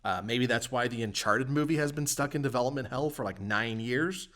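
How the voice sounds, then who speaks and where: A man's voice: mid-range voice, fairly quick, a bit bright. A man hosting a podcast, presenting entertainment news and chatting casually about it. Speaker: podcast host. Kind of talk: reading news and discussing games in casual podcast talk.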